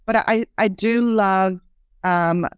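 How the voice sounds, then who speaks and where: A woman's voice: mid-range voice, takes it slowly, clear, resonant tone, no echo. A woman from Serbia, a native Serbian speaker, chatting as a podcast guest in a friendly, hesitant way with ums. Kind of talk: casual interview chat.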